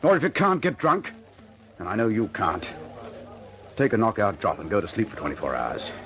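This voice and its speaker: An actor playing a hard-drinking but steady, frank RAF airman in an old-time radio drama. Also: on the low side, normal speed, heavily acted. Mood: grave, firm. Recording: old radio recording, party chatter behind.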